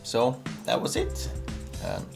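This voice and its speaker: A man hosting a casual home cooking show, chatting and asking his guest one question after another in a kitchen. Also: slow. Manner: curious, keeps asking questions. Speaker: host. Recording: music playing underneath. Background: background music.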